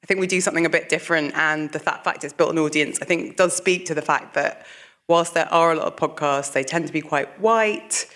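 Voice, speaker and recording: A low-voiced woman talking on stage at a live event, chatty and full of quick asides. She laughs easily as she answers. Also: fairly bright tone. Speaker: podcast co-host, guest. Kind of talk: casual live panel talk.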